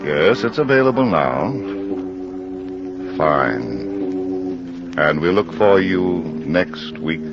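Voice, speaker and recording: Deep, slow American radio host. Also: male voice, animated, over loud music. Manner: measured, formal. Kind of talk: 1940s radio scripted narration. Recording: muffled, noisy old radio recording.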